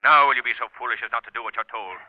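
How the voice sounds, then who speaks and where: An actor playing an invisible crime fighter in a 1930s radio drama, commanding, mocking and ominous. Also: male voice, medium pitch, rapid-fire, no echo, natural voice. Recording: dull vintage 1930s radio recording.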